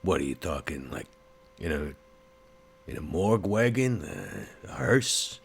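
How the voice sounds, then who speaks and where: A gruff vampire strongman, played by a man for a tabletop role-play podcast. The voice is extremely low and full of gravel, and the words come slowly and plainly, like practical streetwise muscle. Music plays behind it. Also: sing-song, heavily acted. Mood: gruff, pondering. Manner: terse, slow, streetwise.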